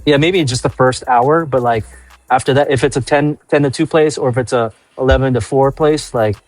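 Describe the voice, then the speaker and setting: Dry, mid-pitched man musing aloud. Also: fairly quick, a little mellow, no room sound, soft background music, rough recording. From New York. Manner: repeats and restarts phrases while thinking aloud. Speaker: nightlife industry guest. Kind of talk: casual podcast chat.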